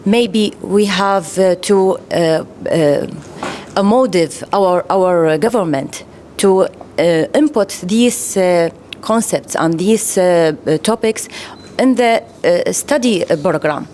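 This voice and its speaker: Slow, halting Arabic-accented woman. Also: fairly low pitch, a little mellow, a little hiss or hum. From Iraq. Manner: halting, many fillers and restarts. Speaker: round-table participant. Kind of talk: panel discussion remarks.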